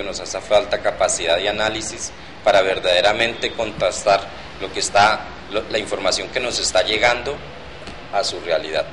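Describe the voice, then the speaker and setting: Male panelist, low and bright-edged, forceful. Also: slight background noise. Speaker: panel guest. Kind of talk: TV panel debate.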